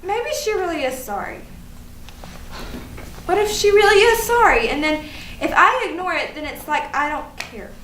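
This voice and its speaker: A woman's voice: very high voice, animated, moderate pace, long pauses, a bit bright. A woman acting an indignant, hurt young woman obsessed with social media, in an emphatic staged reading. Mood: torn, fretting. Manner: emphatic, repetitive, swearing. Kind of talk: theatrical staged reading of a comic play. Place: live staged reading in an echoey room. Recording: echoey, noisy stage recording from far off.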